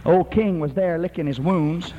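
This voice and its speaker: A man preaching a sermon in an emphatic, rhythmic style that rises to shouted peaks. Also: fairly high pitch, normal speed, muffled recording.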